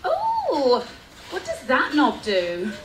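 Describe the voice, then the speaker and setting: A woman's voice: high-pitched, wildly swooping pitch, extremely slow, heavily acted. A woman playing a smug, mischievous young schemer in an amateur radio play, with theatrical delivery. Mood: curious. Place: public lounge on a cruise ship. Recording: echoey, distant mic with noise.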